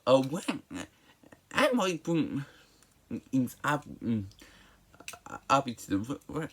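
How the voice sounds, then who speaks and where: A casual reviewer delivers an opinionated monologue with emphatic exasperation. The speech stumbles often, with words and syllables repeated. The pitch is very animated, rising and falling widely. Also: takes it slowly, husky. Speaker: movie reviewer.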